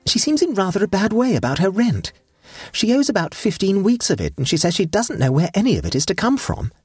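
A man's voice: high-pitched, wildly swooping pitch, fairly quick, a little mellow, breathy edge, no echo, clean studio sound, soft background music, voice pushed up, exaggerated voice. A man reading an audiobook and voicing a mischievous, fanciful sixteen-year-old girl in an airy, conspiratorial way. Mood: teasing.

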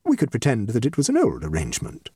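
A man voicing a shrewd, tactful society lady with a measured, dry and precise manner in a comic story. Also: on the low side, huge pitch swings, mellow, slightly rough, no echo, pitched above the performer's own voice, full character voice. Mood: reflective. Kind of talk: comic short story reading.

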